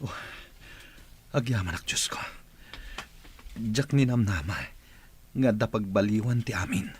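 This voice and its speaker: A man's voice: on the low side, unhurried, a little hiss or hum, close to an everyday voice. A man with an Ilocano accent acting in a radio drama as a weary, hopeful wealthy employer who is in therapy. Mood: weary, grateful.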